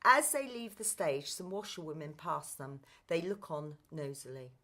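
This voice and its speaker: Bright-voiced woman narrator. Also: low voice, animated, moderate pace. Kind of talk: scripted narration of a stage play.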